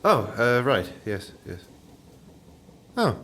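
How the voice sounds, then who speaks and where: An actor playing a nervous, chatty and polite would-be novelist in a radio play, hesitant and apologetic. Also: male voice, mid-range voice, big pitch swings, slow pace, fairly bright tone, slight background noise. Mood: flustered politeness. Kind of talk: naturalistic radio play acting.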